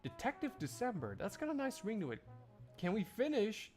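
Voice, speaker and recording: A man's voice: high-pitched, huge pitch swings, moderate pace, darkish tone, faint music behind. A man livestreaming, chatting casually to his viewers in a jokey, rambling, exclamatory way. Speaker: live streamer.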